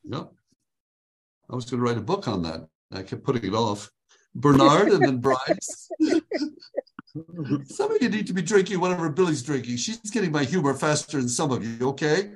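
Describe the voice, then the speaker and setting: A man's voice: medium pitch, very animated, poor audio. Upbeat joking man coaching a video call. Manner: upbeat, joking, slows down to dictate phrases. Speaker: workshop leader. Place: his home.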